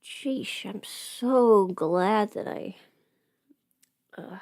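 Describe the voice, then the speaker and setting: A woman's voice: mid-range voice, extremely slow, darkish tone, dry recording, studio quality. A woman livestreaming in casual, rambling chat as she does her makeup, informal and mildly sweary. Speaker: livestream host.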